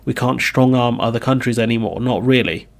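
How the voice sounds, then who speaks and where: A man's voice: mid-range voice, fairly quick, vocal fry. A man recovering from a cold, reading news quotes and giving his opinionated political commentary with frequent fillers. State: hoarse from a cold.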